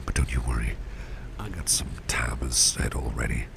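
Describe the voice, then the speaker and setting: A man playing a doting, protective businessman boss in an ASMR roleplay, murmuring in a gentle, courteous, breathy whisper with unhurried, reassuring repetition. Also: a bass voice, exaggerated voice. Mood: caring, attentive. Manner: gentle, unhurried, hesitant pauses, repeats phrases reassuringly. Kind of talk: ASMR roleplay, close personal attention. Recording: audible steady background noise.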